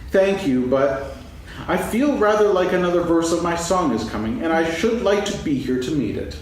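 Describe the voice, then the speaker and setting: A man playing a friendly, slow-witted storybook bear in a staged reading, bumbling and repeating himself. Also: a little high, lively intonation, lightly acted. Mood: earnest, muddled. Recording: echoey stage, distant mic, faint noise.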